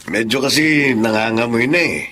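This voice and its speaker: A man's voice: medium pitch, moderate pace, pushed and bright, a little hiss or hum, natural voice. An actor playing a mildly annoyed male neighbor in a radio drama.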